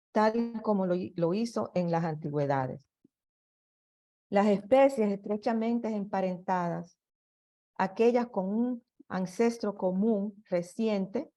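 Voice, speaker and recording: A woman's voice: medium pitch, warm tone. A woman reading a prepared history presentation aloud, stumbling and restarting on long technical words. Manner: apologetic about her Spanish.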